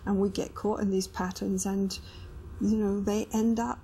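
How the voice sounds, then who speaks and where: A woman's voice: medium pitch, little pitch movement, measured pace, dark tone, slight background noise, low-quality recording. A middle-aged woman talking as a couples therapist, explaining things in a counselling style. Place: indoor studio set with sofas.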